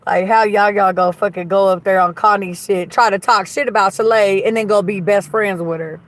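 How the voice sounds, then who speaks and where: Blunt, slightly raspy woman on a livestream. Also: medium pitch, steady tone, moderate pace, dry recording. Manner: blunt, profane, gossipy. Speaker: livestream host. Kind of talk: casual livestream chat, reading viewer comments.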